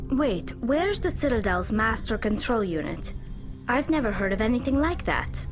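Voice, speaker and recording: An actress playing a sceptical, plain-spoken squadmate in a video game, with an informal, pointed way of talking. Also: female voice, fairly high pitch, very animated, normal speed, over music. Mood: puzzled. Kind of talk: video game dialogue acting.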